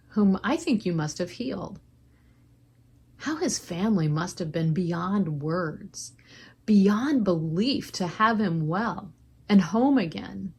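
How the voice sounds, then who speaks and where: Low-voiced woman leading a guided meditation. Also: darkish tone, slightly rough.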